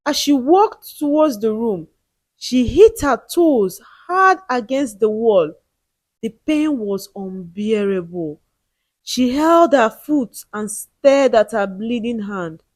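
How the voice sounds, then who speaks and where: A woman storyteller with a fairly high voice that moves with great expression. She delivers a dramatic scripted tale with suspense and emotion, in a very dry recording. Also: dry recording.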